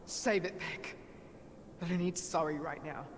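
A woman's voice: lowish voice, a little slow, noisy background, close to an everyday voice. Actress as a tough, vengeful young woman. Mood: grim resolve. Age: young adult. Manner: blunt, hard-edged. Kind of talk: video game cutscene acting.

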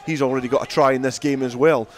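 A man's voice: mid-range voice, steady tone, quick pace. A man giving live play-by-play rugby commentary from the ground.

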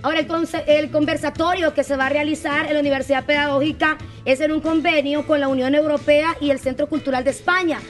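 A woman's voice: high-pitched, fairly even intonation, quick pace, few pauses, faint music behind. A woman hosting a live television interview, asking questions and addressing viewers as presenter. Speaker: TV host, interviewer. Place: TV studio set with sofas.

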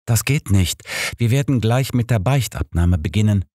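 A man's voice: fairly low pitch, expressive, moderate pace, dark tone, no room sound, studio quality, pitched above the performer's own voice. Man voicing a reluctant, venerable cardinal. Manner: formal, reluctant. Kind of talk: audiobook character dialogue.

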